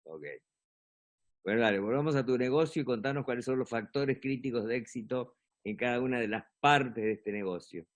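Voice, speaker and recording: A man's voice: mid-range voice, normal speed. Direct Argentine male interviewer. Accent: Argentine Spanish. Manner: direct, prompting. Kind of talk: interview questions.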